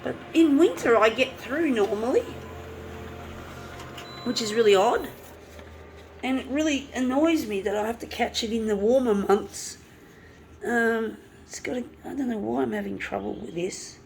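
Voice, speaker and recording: A woman, hoarse from a flu with a blocked nose, chatting and swearing freely as she works. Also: a little high, animated, normal speed, long pauses, darkish tone, a little hiss or hum, low-quality recording. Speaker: artist. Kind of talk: casual talk while working.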